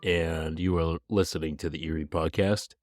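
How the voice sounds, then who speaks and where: Deep-voiced man with a stuffy nose. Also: steady tone, moderate pace, darkish tone, no echo, studio quality, faint music behind. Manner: chatty and apologetic, with some restarts. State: sick with a stuffy, nasal voice. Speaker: podcast host. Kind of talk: casual true-crime podcast narration.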